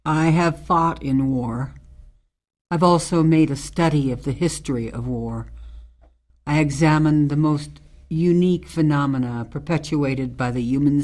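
A woman's voice: a bass voice, a little mellow. Woman acting tough, solemn ex-military ranger. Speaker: actor in an amateur radio drama. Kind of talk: radio drama monologue.

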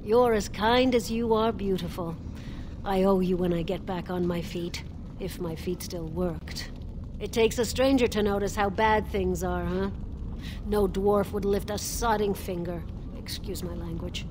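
A woman's voice: medium pitch, measured pace, noisy background, close to an everyday voice. A woman voicing a coarse crippled dwarf beggar. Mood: wheedling. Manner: blunt, salty street talk. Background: game music.

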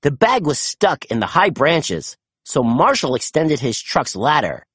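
A storybook narrator reading aloud to children in an expressive, animated way with dramatic emphasis. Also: medium pitch, a little slow, breathy edge, no room sound, studio quality.

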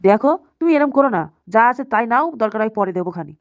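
A woman's voice: fairly high pitch, expressive, quick pace, muffled recording. A woman voicing a sly old grandfather who coaxes and pleads with his lover in an amateur comedy cartoon. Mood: sly, placating. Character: wheedling, cheating old grandfather placating his secret lover.

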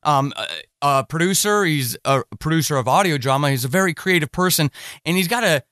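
A man with a fairly high voice hosts a podcast interview. He speaks conversationally and with open enthusiasm. The sound is dry, with no room echo. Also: fairly bright tone.

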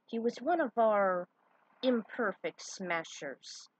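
A woman voicing a solemn male guardian, a giant living hand, in a formal, deliberate manner in a fan story reading. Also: mid-range voice, animated, breathy edge, muffled recording. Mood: explaining.

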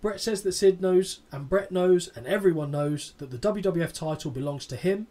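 A man's voice: high voice, normal speed, mellow. A man in his thirties co-hosting a wrestling podcast, reading a match recap aloud with dry, sarcastic asides and casual swearing. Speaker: podcast co-host.

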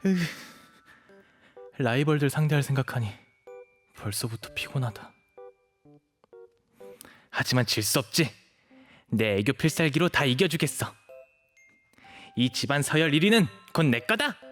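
An actor playing a small, cunning stray puppy shapeshifter in an audio drama, cutely pleading and cockily muttering to himself. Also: male voice, medium pitch, lively intonation, measured pace, pauses often, a bit bright, soft background music. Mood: curious, scheming.

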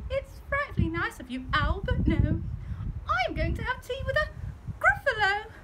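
A woman voicing a small, clever, cheeky mouse in a storybook reading, high and perky. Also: big pitch swings, moderate pace. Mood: perky, boastful. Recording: loud background noise.

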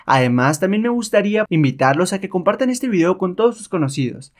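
Man narrating, slightly high-pitched. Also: sing-song, fast talker. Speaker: channel host and narrator. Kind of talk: dramatic scripted comic-book narration.

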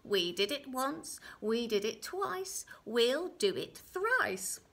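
A woman voicing a strange, wrinkled little magical man in a storybook tale, croaky, emphatic and demanding. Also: fairly high pitch, very animated, slow pace, fairly bright tone, exaggerated voice. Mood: chanting.

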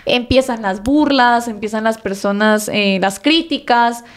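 A woman, a nutritionist talking casually on a podcast, animated and laughing often. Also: a little high, a little slow. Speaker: guest nutritionist.

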